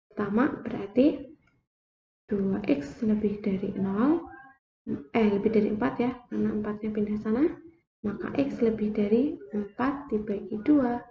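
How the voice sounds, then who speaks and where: A young woman explaining a math lesson slowly, step by step, like a teacher at a board. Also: mid-range voice, creaky, a little hiss or hum. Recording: echoey room, dull lo-fi sound.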